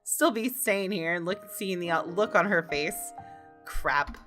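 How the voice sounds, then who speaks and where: Bright, lowish-voiced woman gamer. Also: unhurried, faint music behind. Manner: expressive, reactive. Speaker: gaming video host. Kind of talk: let's play, reading visual novel text aloud with reactions.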